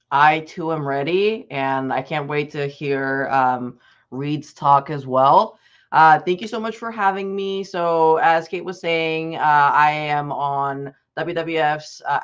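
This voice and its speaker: A man's voice: on the high side, fairly bright tone, smooth. A young man from Bulgaria tells students a personal story during an online presentation. He is earnest and conversational and often restarts his sentences. His audio comes through a dull, lo-fi video call line. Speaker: advocacy specialist presenting to students.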